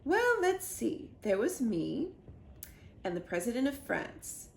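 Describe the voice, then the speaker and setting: A woman's voice: on the high side, huge pitch swings, slow, vocal fry, roomy sound, a little hiss or hum, full character voice. A woman voicing a prim, haughty English Queen, fussily polite and imperious, in a children's storybook reading. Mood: indignant, prim. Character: prim, haughty elderly Queen of England on telephone. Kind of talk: children's storybook read-aloud.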